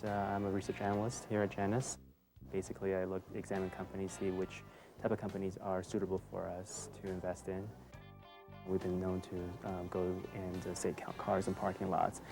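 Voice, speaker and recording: A man with a gravelly voice, speaking in a low-key, hesitant way in a corporate promo interview. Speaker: research analyst.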